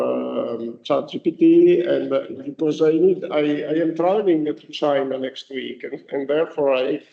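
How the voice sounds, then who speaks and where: A man whose first language is Italian gives a university lecture, speaking haltingly with many restarts and fillers. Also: mid-range voice, gravelly, dark tone. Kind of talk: lecture to students. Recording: dull lo-fi recording.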